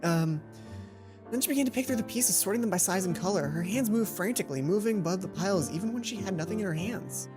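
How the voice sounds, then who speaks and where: Expressive woman reading a game aloud over music. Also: mid-range voice. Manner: expressive, acts out lines, then chatty asides. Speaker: let's play host. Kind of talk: reading visual novel aloud with casual commentary.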